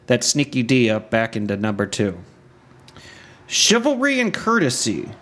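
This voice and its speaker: Animated joking man on a podcast. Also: fairly low pitch. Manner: animated, jokey, laughs often, swears casually. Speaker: podcast host. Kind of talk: casual podcast chat.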